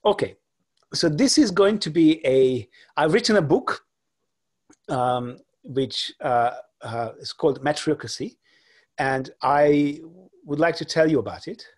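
Husky hungarian-born guy lecturing on a video call, lots of ums and restarts, laptop mic at home. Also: a little high, animated. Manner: halting, many fillers and restarts. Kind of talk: online lecture with slides. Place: home room. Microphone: laptop webcam on video call.

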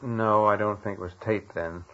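An older man, a radio actor, reminiscing casually as an interview guest in a halting way that circles back on itself. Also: fairly low pitch, steady tone, a bit bright. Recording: dull lo-fi interview recording.

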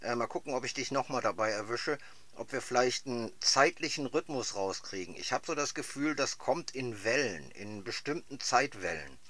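A man's voice: mid-range voice, expressive, moderate pace, pushed and bright. A man chatting casually as a radio amateur over a radio link. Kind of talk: casual two-way radio chat.

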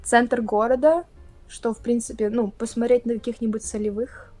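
A young woman from Russia chatting casually on a video call, talking with lively hand gestures. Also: fairly high pitch, moderate pace, no echo, soft background music. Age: young adult. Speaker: guest. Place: indoor room, plain wall.

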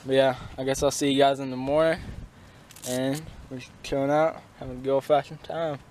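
A man's voice: mid-range voice, flat delivery, fairly quick, crowd noise. A young man giving a casual, slangy, sweary camping vlog into a handheld camera. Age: young adult. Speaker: vlogger. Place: outdoors on a windy mountain, partly inside a tent. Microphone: handheld camera at arm's length. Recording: noisy wind-blown outdoor camera audio.

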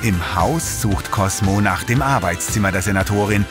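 A man's voice: on the low side, normal speed, lightly acted. A man narrating a scripted space adventure as storyteller of an audio drama. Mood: suspenseful. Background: music.

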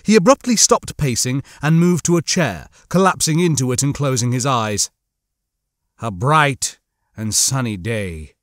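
A man's voice: medium pitch, animated, unhurried, dry recording, clean studio sound. A man reading a story aloud as an audiobook narrator, with dramatic scripted narration.

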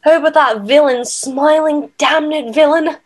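Woman as a vengeful young heir, high pitch, brooding, solemn, theatrical monologue, fantasy podcast. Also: slow. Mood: anguished, vengeful.

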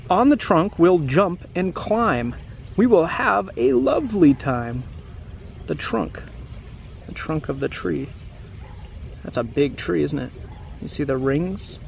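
Male storytime host, thirties, unhurried and gravelly, simple read-aloud with chatty asides, recorded outdoors on camera. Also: fairly high pitch. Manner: slow, deliberate, simple phrasing with questions to young listeners. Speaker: host reading a picture book to children. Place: outdoors in a grassy, wooded yard. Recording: outdoor camera audio with background noise.

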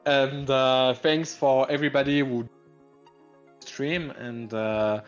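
A French-speaking man chatting in English with a French accent, hesitant and self-conscious, pausing often with fillers and laughing. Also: medium pitch, steady tone, clear, resonant tone, muffled recording, faint music behind. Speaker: guest streamer. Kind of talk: casual stream chat.

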